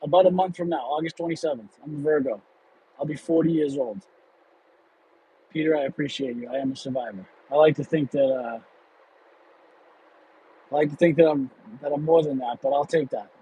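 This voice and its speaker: A man in his late thirties hosts an off-the-cuff livestream from behind the wheel, answering viewers candidly and rambling through restarts. His voice sits a little high for a man. A faint hum of the car in traffic runs underneath. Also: fairly quick, pauses often, mellow, poor audio. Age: 30s. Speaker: livestream host. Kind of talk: casual livestream chat.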